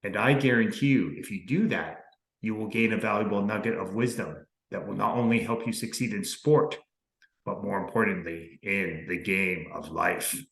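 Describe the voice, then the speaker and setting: A man hosts a podcast in a lowish voice, earnest and upbeat. He leans on motivational sayings. The sound has a roomy echo. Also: moderate pace, creaky, a bit bright. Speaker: podcast host.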